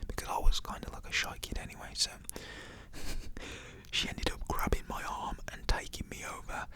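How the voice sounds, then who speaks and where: A young man, whispering a rambling personal story to the camera with lots of "like" fillers. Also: normal speed. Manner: whispers throughout. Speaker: storytelling vlogger.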